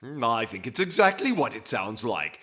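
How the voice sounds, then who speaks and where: A man's voice: medium pitch, very animated, normal speed, a bit bright. A man playing a snarky, officious tax agent with a prissy, sarcastic manner in a 1940s radio comedy. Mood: snide indignation.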